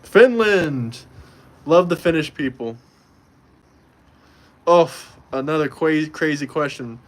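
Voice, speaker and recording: A young American man from Florida, talking off the cuff on a livestream. His voice sits a little high for a man, and he wanders and repeats himself while answering viewers. He sounds casual and unscripted. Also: animated, slow. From Florida, United States. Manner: loose, conversational, repeats himself. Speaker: livestream host. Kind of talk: casual livestream chat, reading viewer comments. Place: indoors in Montenegro.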